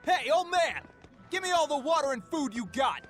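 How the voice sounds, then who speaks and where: High, swooping, hot-tempered sailor. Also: animated, normal speed, faint music behind. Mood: angry. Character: hot-tempered, brash quarrelling sailor in a fantasy game.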